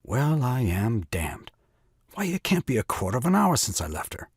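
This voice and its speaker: A man's voice: mid-range voice, wildly swooping pitch, moderate pace, husky, dry recording, studio quality, exaggerated voice. A man playing a flustered, indignant young new father in a comic stage farce, blustering and exclaiming. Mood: astonished bluster.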